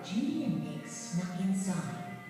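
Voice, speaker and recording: A woman reading a scripted children's story in a measured, dramatic storytelling manner. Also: medium pitch, big pitch swings. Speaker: storybook narrator. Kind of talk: scripted children's read-along narration. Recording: very echoey, distant, music behind. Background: music.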